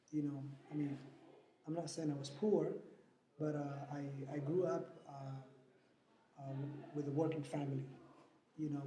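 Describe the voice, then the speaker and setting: A man's voice: fairly high pitch, warm tone. A man from Somalia answering interview questions earnestly, with frequent hesitant fillers. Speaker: interviewee, nonprofit board president. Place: event venue after a fundraiser. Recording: roomy echo, faint background noise.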